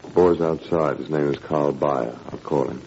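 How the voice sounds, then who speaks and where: An actor in a 1940s radio drama playing a smug, menacing Nazi education official with a sardonic, mock-courteous manner. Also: male voice, extremely low pitch, fairly even intonation, moderate pace, darkish tone. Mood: veiled threat. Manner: silky, sardonic, mock-courteous, drawling hesitations. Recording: dull, noisy 1940s radio recording.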